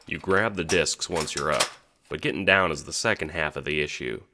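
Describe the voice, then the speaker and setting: A man's voice: very deep voice, brisk, background noise. A man narrating a second-person audio drama in a sardonic, sweary manner.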